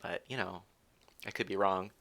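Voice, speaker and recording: Male streamer, lowish voice with a bright edge, giggly and teasing, rambles while he plays. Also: steady tone, normal speed. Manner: giggly and teasing, thinks aloud; rambling with frequent restarts. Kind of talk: casual live gameplay streaming, chatting with viewers.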